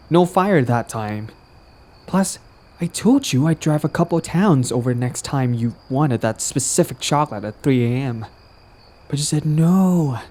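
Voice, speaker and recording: A man's voice: on the high side, warm tone. A man playing a smug, possessive young villain in a romantic audio drama, talking in a casual, teasing, cajoling way. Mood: defensive, exasperated. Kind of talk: roleplay audio drama, conversational acting.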